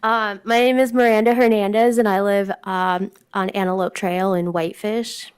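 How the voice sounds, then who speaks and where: A woman's voice: medium pitch, level tone, normal speed, clear, resonant tone. A woman with a mousy voice, giving earnest public comment at a city council meeting from prepared remarks, with frequent ums.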